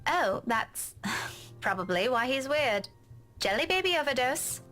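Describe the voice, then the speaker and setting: A woman's voice: high voice, sing-song, unhurried, fairly bright tone, soft background music, heavily acted. A woman playing a sarcastic, teasing young woman in a visual novel game. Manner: sardonic, teasing.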